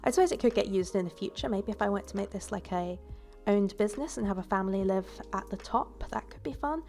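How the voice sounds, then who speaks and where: Woman narrating her build video, a bit congested, with a gravelly edge and an easygoing, rambling delivery. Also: medium pitch, fast, a little mellow, soft background music. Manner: casual and rambling, with fillers and self-corrections. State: stuffy from a cold. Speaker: game builder and YouTuber. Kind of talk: relaxed voice-over commentary on a building video.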